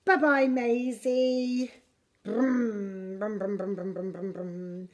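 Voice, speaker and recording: A middle-aged woman reading a picture book to young children in an expressive, deliberate way. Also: mid-range voice, dull audio, a crowd in the background. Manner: slow, deliberate, expressive delivery for little children. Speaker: preschool story reader. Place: preschool classroom, indoors. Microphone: camera a short distance away.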